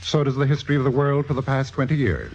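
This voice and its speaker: A man's voice: mid-range voice, expressive, quick pace, vocal fry, darkish tone. A man playing a cynical, commanding theater critic in a vintage radio drama, with precise, sardonic, possessive delivery. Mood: cold, menacing. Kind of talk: 1950s radio drama acting. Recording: dull vintage radio recording, music behind.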